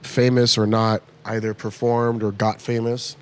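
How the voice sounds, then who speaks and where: A man in his fifties from California, a guest comedian chatting in a bar at a comedy club, telling animated stories and acting out quoted voices. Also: on the low side, measured pace. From United States (California). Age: 50s. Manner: animated storyteller who acts out quoted voices. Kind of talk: casual interview chat.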